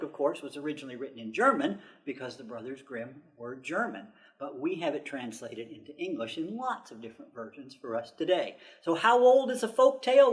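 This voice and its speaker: Casting: American man, children's librarian host, educational delivery, plain and simple explanations aimed at kids. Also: a little high. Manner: explains simply, with rhetorical questions. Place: public library children's section.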